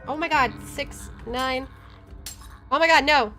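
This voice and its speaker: A woman game streamer with an expressive, excitable delivery, reading aloud and commenting as she plays. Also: high-pitched, slow pace. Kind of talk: dramatic reading aloud and let's play commentary. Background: faint game music.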